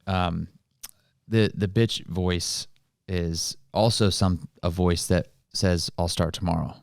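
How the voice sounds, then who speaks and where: A man with a low, deep voice speaks as a podcast co-host. He explains motivational ideas in casual, colloquial language, and the recording is dry and close. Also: fairly even intonation, slow pace, vocal fry, clear, resonant tone, dry recording.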